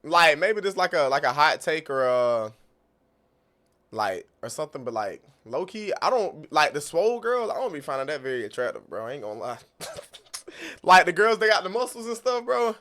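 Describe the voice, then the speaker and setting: Man, streamer, casual slangy commentary, high-ish voice, very lively pitch, repeats himself, laughs a lot. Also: moderate pace. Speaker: streamer reacting to a video.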